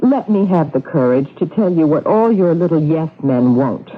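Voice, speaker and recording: Tinny old radio drama, scheming young wife, husky n dramatic, very lively pitch. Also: female voice, moderate pace. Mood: anxious pleading. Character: young scheming wife, outwardly tender, secretly cold. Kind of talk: 1970s radio drama acting. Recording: tinny, band-limited old radio recording.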